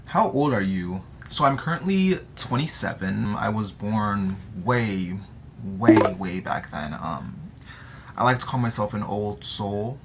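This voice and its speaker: A man's voice: lowish voice, lively intonation, slow, creaky, heavy background noise. A 19-year-old man with an American accent chatting casually and playfully to his audience in a slangy, joking way. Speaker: vlogger answering audience questions. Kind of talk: casual chat to camera.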